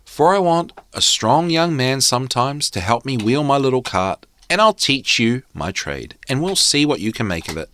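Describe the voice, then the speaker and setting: Man reading an easygoing old tinker, lively intonation. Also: medium pitch, normal speed, hardly any pauses. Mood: agreeable. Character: old travelling tinker, plain-spoken and obliging. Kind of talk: storybook character reading.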